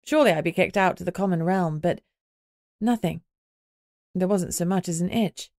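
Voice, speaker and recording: A woman's voice: fairly low pitch, moderate pace, darkish tone, no echo, clean studio sound. A woman reading scripted first-person audiobook narration.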